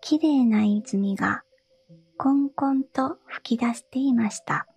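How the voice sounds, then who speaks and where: A woman reading a fairy tale aloud in a soft, breathy whisper. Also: on the high side, slow, faint music behind.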